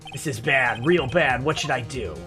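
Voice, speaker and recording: A man's voice: mid-range voice, moderate pace, music in the background, lightly acted. A man voicing an earnest, anxious young male defense attorney from a video game, with urgent delivery. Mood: worried.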